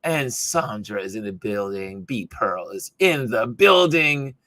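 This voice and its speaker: A man with a bright, forceful voice that has gone a bit hoarse, hosting a casual livestream. He is chatty and greets people as he goes, and the sound is close and dry. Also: fairly low pitch, animated, a little slow, dry recording. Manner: chatty, greets viewers by name. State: hoarse, voice going from talking. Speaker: talk show host.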